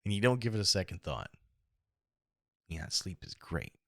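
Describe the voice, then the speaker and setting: A middle-aged American man with a hoarse, gravelly voice, talking alone in a reflective, halting and rambling way as a casual podcast host. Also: sits low, sing-song, a bit bright, no echo, clean studio sound. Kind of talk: casual solo podcast monologue.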